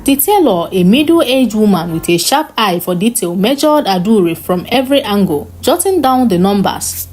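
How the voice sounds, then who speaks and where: A woman narrating a scripted folktale in an expressive, dramatic storytelling style. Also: mid-range voice, moderate pace, hardly any pauses.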